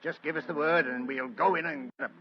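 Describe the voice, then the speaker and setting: A man's voice: on the high side, brisk, loud music behind the voice, natural voice. A man playing Inspector Lestrade, a blunt, practical police inspector, in a vintage radio drama. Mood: gruff. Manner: blunt, gruff, matter-of-fact. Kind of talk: 1940s radio acting. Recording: dull old radio recording.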